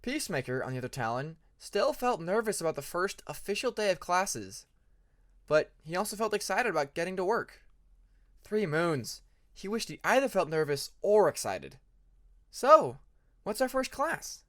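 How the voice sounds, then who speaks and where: A man's voice: sits high, big pitch swings, moderate pace. A man narrating fantasy fiction as an audiobook reader.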